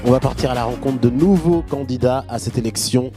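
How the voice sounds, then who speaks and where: A man hosting a pageant casting, introducing candidates and asking friendly, brisk questions. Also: mid-range voice, over music. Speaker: host. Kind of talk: presenting and interviewing.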